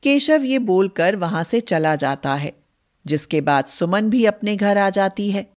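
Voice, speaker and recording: A woman narrates a scripted moral tale for a cartoon. She goes at a slow pace in a mid-pitched voice. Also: expressive, a little mellow. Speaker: story narrator.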